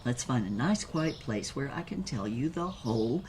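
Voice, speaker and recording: A woman's voice: extremely low pitch, big pitch swings, moderate pace, darkish tone, a little hiss or hum. An actress playing a brassy, worldly old former film starlet, telling her story in a knowing, theatrical way in an audio drama. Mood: nostalgic, knowing.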